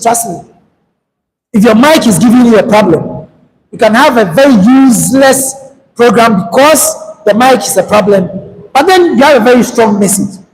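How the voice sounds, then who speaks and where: Male trainer, very high and light voice, direct and urging, lecture hall reverb. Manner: direct, coaching, urges the group to hurry. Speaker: public speaking trainer. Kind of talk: workshop lecture to students. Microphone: handheld microphone. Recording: long hall reverb, faint background noise.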